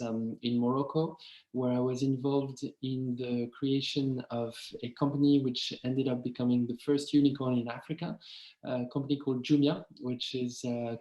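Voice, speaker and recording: A man in his thirties speaks English with a French accent, telling his career story over a video call. He hesitates often and talks in a mellow, fairly monotone voice. The sound is roomy and echoey. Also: medium pitch, lo-fi sound. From France. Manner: hesitant, many ums and uhs. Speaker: webinar speaker.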